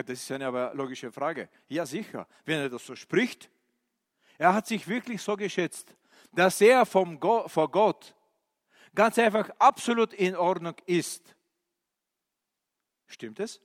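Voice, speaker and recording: A male preacher, a non-native German speaker, giving an animated sermon with many questions to his listeners and hesitant fillers. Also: sits high. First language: not German. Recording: reverberant hall.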